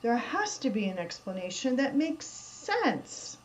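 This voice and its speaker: A woman's voice: on the high side, very animated, unhurried, muffled recording, noisy background. A woman playing a curious, determined researcher musing aloud in a horror radio drama. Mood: puzzled, intrigued. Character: curious, determined female researcher investigating a mystery.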